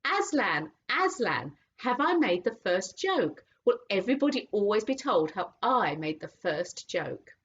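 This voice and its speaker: A woman voicing a small, excitable jackdaw with a high, eager voice in a dull lo-fi recording. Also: unhurried, hardly any pauses, breathy edge, exaggerated voice.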